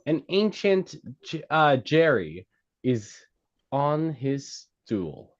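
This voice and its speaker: Slow, animated man reading stage directions, dull sound. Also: fairly high pitch. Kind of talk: scripted narration, present-tense screenplay directions.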